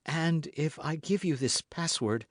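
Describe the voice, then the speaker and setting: A man reading an audiobook as an anxious, guarded young gentlewoman who speaks in a formal, fretful, pleading way. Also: a little high, measured pace, no room sound, clean studio sound, pitched above the performer's own voice, close to an everyday voice. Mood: wary, trusting.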